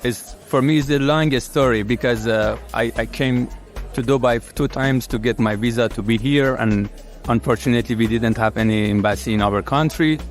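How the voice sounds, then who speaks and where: A man from Iran, a water engineer and program fellow, telling his story in a halting soundbite full of fillers and restarts. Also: medium pitch, faint music behind. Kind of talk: recorded soundbite.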